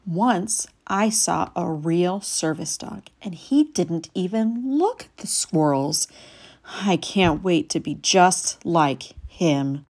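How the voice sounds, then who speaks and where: Storybook puppy hero-in-training read by a woman: eager, earnest, childlike, unhurried. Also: lowish voice, lively intonation, darkish tone, exaggerated voice. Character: eager young male puppy training as service dog.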